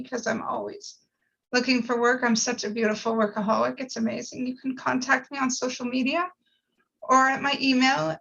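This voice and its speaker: Female actor, very high bright voice, conversational self-introduction, slightly dull lo-fi sound. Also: vocal fry. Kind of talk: self-introduction and casual chat.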